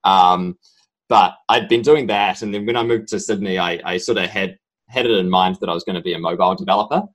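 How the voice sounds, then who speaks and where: A young man from New Zealand with a strong New Zealand accent, a podcast guest telling his story in a halting, rambling way full of ums. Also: low voice, a bit bright. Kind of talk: casual interview talk.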